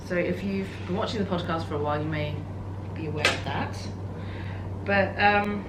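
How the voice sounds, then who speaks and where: A woman in her thirties hosting a casual home video podcast, slightly hoarse and sniffly from a sore throat, rambling with lots of ums and little laughs. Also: lowish voice, normal speed. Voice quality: croaky. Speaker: podcast host. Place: home, cats nearby. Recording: roomy echo with noisy ambience.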